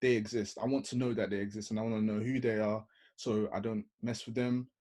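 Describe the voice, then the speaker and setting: A man on a video-call panel, laying out his points one by one in a measured way. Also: fairly low pitch, little pitch movement, a little mellow. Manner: measured, lays out points in order. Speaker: panel guest. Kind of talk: reasoned panel discussion.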